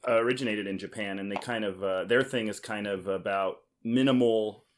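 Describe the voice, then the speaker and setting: A man with a fairly low voice hosts a casual board game podcast. He talks conversationally, like someone among friends, with dry teasing and joking in his delivery. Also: steady tone, brisk. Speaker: podcast host. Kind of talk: casual chat about board games.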